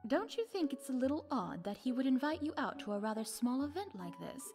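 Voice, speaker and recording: A woman's voice: high-pitched, big pitch swings, a little fast. A woman playing a sly, worldly society widow in a visual novel, with arch and knowing phrasing. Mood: probing, polite. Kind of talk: voiced visual novel dialogue. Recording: music behind the voice. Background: game music.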